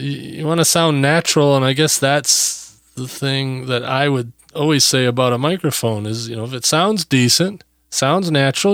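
A man hosting a podcast, giving casual equipment advice in a relaxed, rambling way full of fillers, recorded close on a boom mic in his home studio. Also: clear, resonant tone, no echo. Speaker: podcast host. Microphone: close studio mic on a boom arm.

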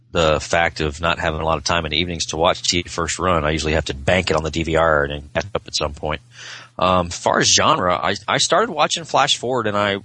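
Deep, bright-voiced man chatting. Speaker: podcast guest, author. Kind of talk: casual chat.

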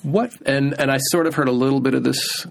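A man from Southern California hosting a podcast interview, conversational and quick to chime in. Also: mid-range voice, vocal fry. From Southern California, USA. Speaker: podcast host.

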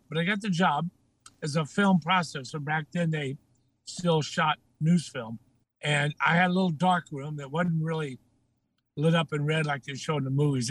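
An older man with a Texan accent tells stories as a guest, laughing often. His voice sits high for a man and his voice is slightly changed by breathing trouble. The sound is dry and close. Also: a bit bright, slightly rough, no echo, rough recording. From Texas. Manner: laughing, anecdotal storytelling. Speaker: guest, former TV news anchor. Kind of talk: casual interview.

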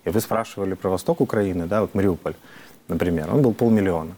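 A man talks quickly in a lowish, mellow voice. He explains his points earnestly in an interview and often stops to hesitate with fillers. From Ukraine. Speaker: member of parliament, guest. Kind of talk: interview answers.

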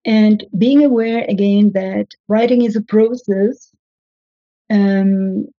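A woman, a writing coach giving measured, instructive advice in an interview. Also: mid-range voice, fairly even intonation, dry recording, lo-fi sound. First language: French. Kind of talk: interview answers, advising.

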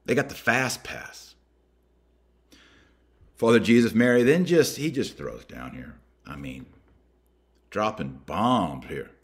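Low-voiced American man, podcast host. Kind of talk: reading a document aloud with commentary.

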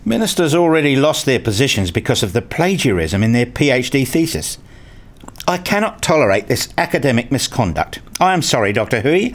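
A man playing an earnest, dryly sarcastic university researcher in a scripted radio play, with a deadpan, formal way of talking. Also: medium pitch, brisk. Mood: mock formal. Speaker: narrator and lead actor in a radio play. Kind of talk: first-person scripted radio play narration and dialogue.

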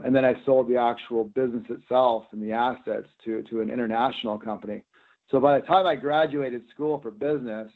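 Over a thin, phone-like connection comes a middle-aged man. He talks at an ordinary pitch, in the conversational way of an executive guest answering an interviewer. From Canada, Alberta prairies. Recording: narrow, tinny phone-line sound.

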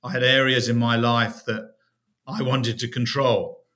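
Middle-aged british guy, guest on a podcast, bright clear voice, reflective storytelling. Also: medium pitch, flat delivery, measured pace. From United Kingdom. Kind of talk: personal testimony in an interview.

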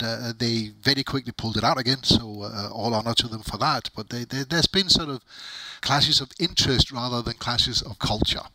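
Bright, forceful middle-aged man being interviewed. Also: mid-range voice, a little hiss or hum, rough recording. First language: not English. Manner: emphatic, wordy, repeats phrases for emphasis. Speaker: interviewee, technical documentation expert. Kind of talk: conversational interview answers.

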